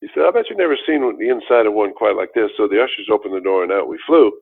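Deep-voiced older man telling his story. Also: animated, fast talker, vocal fry, husky. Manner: rambling, with many restarts and fillers. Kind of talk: casual storytelling interview. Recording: tinny phone-like line.

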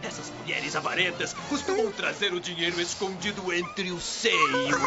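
Cackling, creaky high-voiced robber. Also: male voice, full character voice. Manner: cackling, taunting, drawn-out delivery. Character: gleeful cruel masked robber, mocking and greedy. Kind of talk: radio drama acting. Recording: dull lo-fi radio drama under loud music.

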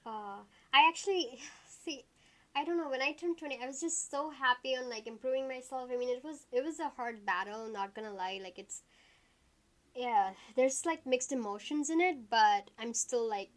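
Chatty young woman in her twenties, slightly high. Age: 20s. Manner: chatty, many fillers, quick asides. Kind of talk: casual chat.

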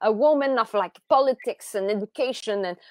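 A French Canadian woman from Montreal, a native French speaker, hosting a live podcast, enthusiastic and halting, with many restarts. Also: fairly high pitch, dry recording, clean studio sound. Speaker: podcast host. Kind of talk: live-streamed interview podcast.

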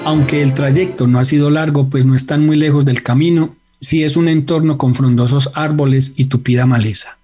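Un hombre narra una radionovela con guion, de manera medida, literaria y dramática. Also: male voice, fairly high pitch, steady tone, dark tone, faint music behind. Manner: measured, literary, dramatic. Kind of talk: scripted radio drama narration.

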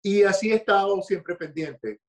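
A man from Venezuela with a Venezuelan accent, a guest author being interviewed at home, talking in a relaxed, hesitant way. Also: high voice, normal speed. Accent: Venezuelan Spanish. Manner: relaxed, hesitant, with many fillers and restarts. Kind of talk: podcast interview.

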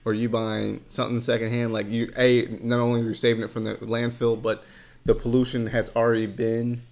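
A man hosting a casual podcast, talking in a relaxed, rambling way with lots of fillers. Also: medium pitch, a little fast. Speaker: podcast host. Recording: dull lo-fi sound.

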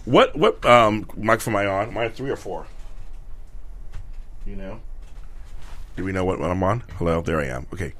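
A middle-aged man hosting a live television interview, chatty and quick to affirm his guest. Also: low voice, fairly bright tone. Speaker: host.

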